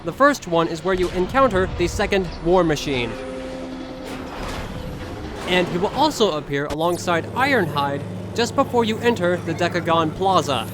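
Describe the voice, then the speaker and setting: A man's voice: high-pitched, expressive, normal speed, background noise. A man hosting a gaming video, giving scripted but casual commentary.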